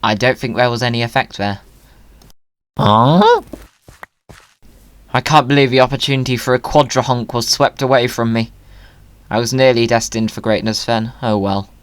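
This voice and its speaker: A man's voice: medium pitch, steady tone, a little fast, clean tone. A man giving casual, playful gaming commentary with whimsical wording. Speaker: video creator.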